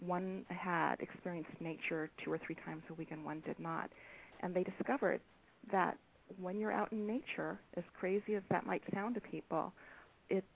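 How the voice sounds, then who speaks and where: A soft-spoken woman, an author, being interviewed over the phone, speaking hesitantly with many pauses and ums. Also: lowish voice, vocal fry. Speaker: author and guest. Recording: tinny phone line with faint hiss.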